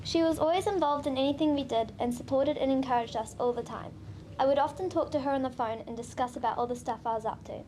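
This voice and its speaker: A woman's voice: high-pitched, quick pace, dark tone, slight background noise. A woman with a New Zealand accent, a grandchild, reading a sincere prepared tribute at a memorial service.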